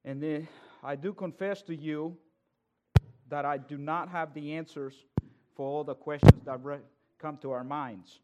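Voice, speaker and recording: Earnest male preacher, fairly high voice. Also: steady tone, unhurried, lo-fi sound, very noisy. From El Salvador. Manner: earnest, building from quiet greetings to loud emphatic preaching. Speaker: guest preacher. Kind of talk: sermon.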